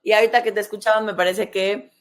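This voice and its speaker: A woman's voice: mid-range voice, level tone, fast talker. A woman in her forties with a Mexican accent speaks as a podcast host. She explains things conversationally, step by step, and often adds short questioning tags.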